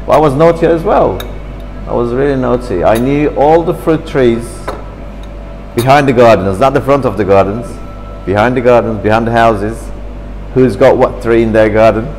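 A man's voice: medium pitch, moderate pace, in a large hall, faint music behind, rough recording. A man in his fifties from Turkey, speaking English, chatting animatedly about his childhood in a café interview. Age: 50s. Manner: chatty, animated, gestures with hands, slightly broken English. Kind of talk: reminiscing in an interview. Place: café, seated at a table indoors. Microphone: camera across the table.

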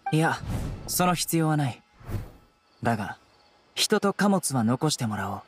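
A man's voice: on the high side, sing-song, moderate pace, faint music behind. A man playing a stern young law enforcer in a Japanese video game, composed, blunt and commanding. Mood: composed, businesslike.

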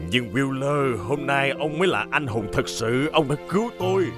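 A man's voice: mid-range voice, moderate pace, lightly acted. A man voicing a caring middle-aged builder in a dubbed children's cartoon, with a sincere, earnest delivery. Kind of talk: dubbed children's animation acting. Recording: music behind the voice.

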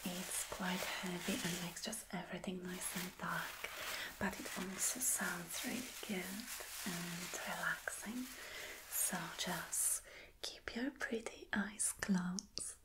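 A woman in her thirties playing a flirty, soothing boss in a soft, breathy, whispered ASMR roleplay, gentle and coaxing. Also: lowish voice, fairly even intonation, low-quality recording. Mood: soft, reassuring. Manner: gentle, coaxing, slow and deliberate. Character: flirty, soothing female boss reassuring an employee. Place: small dim indoor room.

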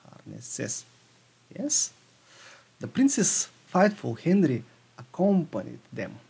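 A man reading a fairy tale aloud for children as a storyteller, halting at times and rereading words. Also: a little high, huge pitch swings, gravelly, dark tone, breathy edge.

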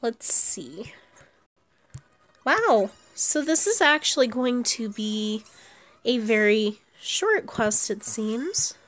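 A woman's voice: fairly high pitch, big pitch swings, slow, fairly bright tone. A woman giving casual commentary on her own gameplay, thinking aloud as she plays. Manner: thinks aloud while playing. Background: video game music.

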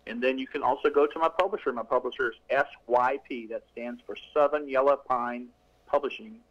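A man's voice: medium pitch, fairly even intonation, fairly quick. Middle-aged guy author rambling about his book, um and uh a lot, muffled lo-fi line. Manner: rambling, many restarts and fillers. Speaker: author guest. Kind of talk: casual interview.

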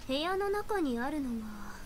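High-voiced commanding young seer. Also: female voice, big pitch swings, slight background noise. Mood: serious, deliberate. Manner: formal, measured, authoritative. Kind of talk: anime game voice acting. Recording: game music behind the voice.

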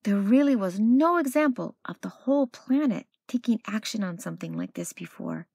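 A woman storyteller voicing an earnest, hopeful male scientist in a picture book, speaking in a measured, emphatic way. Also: mid-range voice, big pitch swings, a little mellow, slightly rough, no room sound, studio quality. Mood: worried.

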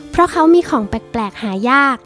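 A woman hosting a travel vlog, giving a cheerful, friendly and polite scripted voice-over. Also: fairly quick. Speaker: vlog host reviewing a cafe. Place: voice-over, not recorded on location. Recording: voice-over with clear background music. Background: background music.

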